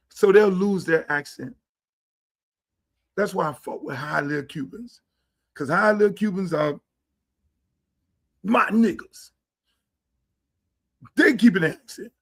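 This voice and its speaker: A man hosting a livestream show. He rants in a blunt, profane, slang-heavy way and keeps slipping into quick impressions of other people. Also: high-pitched, a bit bright. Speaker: show host. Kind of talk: casual livestream rant. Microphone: streaming setup.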